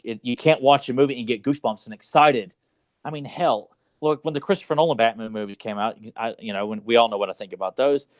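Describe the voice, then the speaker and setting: A man's voice: medium pitch, no echo. A man co-hosting a casual movie podcast, talking in an exasperated, sarcastic way with loose, salty language. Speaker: podcast co-host.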